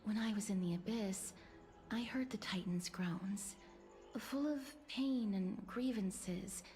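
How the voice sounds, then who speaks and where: A woman playing a gentle, melancholy young game heroine who speaks slowly, softly and formally in a breathy hush. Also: medium pitch, lightly acted. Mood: soft, solemn. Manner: slow, hushed, breathy, formal delivery. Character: gentle, melancholy, bookish young woman, soft-spoken and courteous. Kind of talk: video game voice acting, story dialogue. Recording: game music behind the voice.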